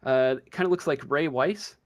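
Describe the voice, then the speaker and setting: A man narrating a tabletop game as game master, halting and searching for words as he improvises. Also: a little high.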